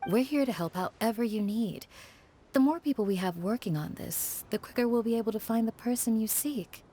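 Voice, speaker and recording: A woman playing a gentle, earnest village guardian in a video game, caring and measured, with music behind. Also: a little high, breathy edge. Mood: supportive.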